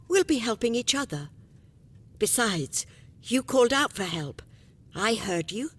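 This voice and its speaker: An actress playing a calm, patient, caring young woman in an audio drama, speaking gently and soothingly. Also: measured pace, husky, full character voice. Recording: long reverb, faint rumbling noise.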